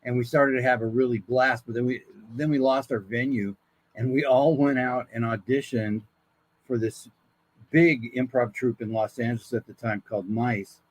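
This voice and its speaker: A man's voice: mid-range voice, steady tone, normal speed, no room sound, low-quality recording. A man speaking as a guest voice actor, telling stories about himself in a casual, conversational way. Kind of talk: casual autobiographical storytelling.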